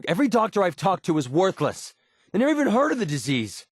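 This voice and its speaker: An actor voicing a wry, prickly young mercenary sniper with a hidden soft side in a video game, sarcastic and conversational. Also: male voice, high voice, fast, husky, no room sound, clean studio sound, full character voice. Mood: angry, frustrated. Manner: sarcastic, conversational, emotionally expressive. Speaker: video game companion character. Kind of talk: naturalistic video game voice acting.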